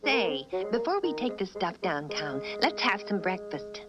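An actress voicing a confident, breezy, sly housewife in an animated sitcom. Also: female voice, mid-range voice, unhurried, muffled recording, loud music behind the voice. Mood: conspiratorial. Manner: breezy, self-assured. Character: confident, sly cartoon housewife, practical schemer.

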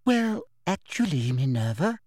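A man's voice: a little high, sing-song, unhurried, a little mellow, dry recording, studio quality, pitched above the performer's own voice. A man voicing a smug middle-aged female official in an audiobook, simpering with poisonous, falsely polite sweetness.

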